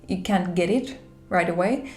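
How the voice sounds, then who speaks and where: A woman with a Finnish accent, speaking English as a second language, shares gentle reflections on a spiritual journey in a soft, pausing manner. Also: on the low side. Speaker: spiritual vlogger. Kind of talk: gentle reflective monologue to camera. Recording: roomy echo with soft background music.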